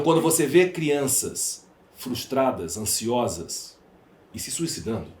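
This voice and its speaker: A man's voice: medium pitch, a little mellow. A Brazilian man with a Brazilian Portuguese accent, speaking emphatically and rhetorically as an opinionated podcast guest. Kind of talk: opinionated interview talk. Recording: roomy echo, faint background noise.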